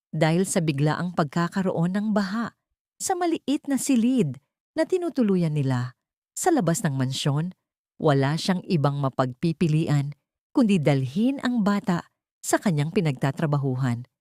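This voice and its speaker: Low-pitched Filipino woman narrating a drama. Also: mellow, no echo, studio quality. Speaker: audiobook narrator. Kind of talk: dramatic scripted story narration.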